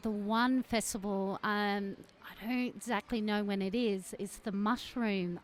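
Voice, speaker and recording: An Australian woman, a travel journalist, chats as a guest in a radio interview. She rambles with plenty of fillers and restarts. There's a faint hiss of background noise on the recording. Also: mid-range voice.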